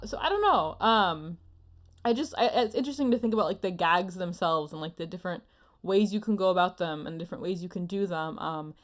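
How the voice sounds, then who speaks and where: A woman's voice: lowish voice, brisk. A woman co-hosting a podcast, chatting casually in an effusive, emphatic, rambling way. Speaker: podcast co-host. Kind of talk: casual opinionated chat.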